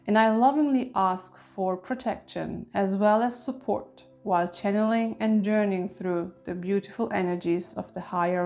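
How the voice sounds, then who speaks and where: A woman leading a guided meditation in an ASMR style, speaking gently and soothingly with deliberate phrasing. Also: medium pitch. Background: soft music with chimes.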